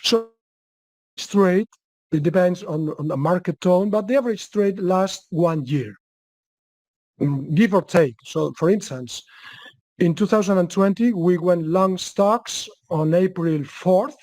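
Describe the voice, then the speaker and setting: A man's voice: high-pitched, a little slow, a little mellow, dry recording. A man with a Spanish accent presenting in a webinar and answering questions, often restarting his sentences. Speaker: guest presenter.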